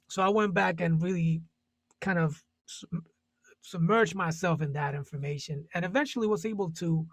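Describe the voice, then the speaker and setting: A man from Puerto Rico speaks in a husky, slightly raised voice, thinking aloud about his music. He circles back and restarts often, using fillers as he searches for words. The sound is close and dry. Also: on the high side, dry recording. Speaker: jazz musician, panelist. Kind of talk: casual panel discussion.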